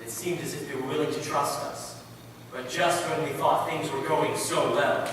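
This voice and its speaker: A man's voice: on the high side, steady tone, normal speed, a bit bright, natural voice. A man playing an earnest missionary martyr in a dramatic stage recital, projecting theatrically. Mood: grave narration. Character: earnest missionary father and martyr, telling his story. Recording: very echoey hall from far off, faint noise.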